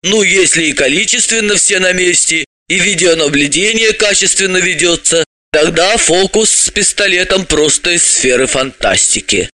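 A man's voice: high-pitched, expressive, normal speed, sharp tone, no echo. A man voicing a good-natured woman company boss who is curious and easily surprised, in audiobook dialogue. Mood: speculating. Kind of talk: audiobook character voice.

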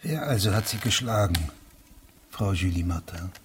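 An actor playing a grave, persistent investigating judge in a German radio play, questioning insistently in a husky, breathy voice. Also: male voice, deep voice, animated, normal speed, background noise. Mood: stern, probing.

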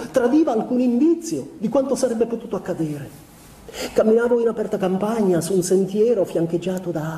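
Mellow, confessional actor as introspective man, distant. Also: high voice. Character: introspective, tormented man confessing his troubled youth. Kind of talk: theatrical literary monologue. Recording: roomy, distant, faint background noise.

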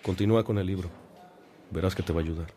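Radio drama role for a male actor: patient priest mentor, low voice, reassuring, faint ambient noise behind. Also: fast, warm tone, close to an everyday voice.

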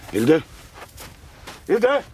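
An actor playing a jovial, gruff middle-aged father with a Flemish accent and an animated, chummy way of talking in a radio drama. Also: on the high side, drawn-out words, slight background noise, heavily acted. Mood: astonished. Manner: animated, colloquial, talks chummily. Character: jovial, gruffly cursing family father, dismissive of worry.

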